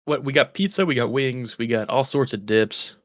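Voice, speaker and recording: A man's voice: mid-range voice, moderate pace, no room sound. A man co-hosting a podcast, rambling enthusiastically about game-day food with lots of restarts. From West Virginia. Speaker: podcast co-host. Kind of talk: casual chat.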